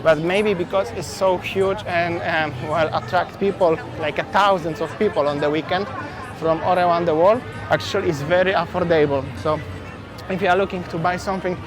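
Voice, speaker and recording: A man's voice: high voice, steady tone, normal speed, over music, a crowd in the background. A man from Poland with a Polish accent, walking and talking through a busy outdoor street market for his travel vlog, chatty and repeating his words. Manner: chatty, repeats words, simple non-native phrasing. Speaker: travel vlogger.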